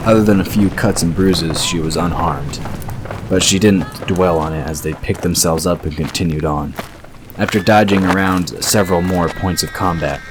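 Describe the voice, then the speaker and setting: Very deep-voiced male narrator. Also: noisy background. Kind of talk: scripted fantasy audio drama narration. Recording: loud music and effects under narration.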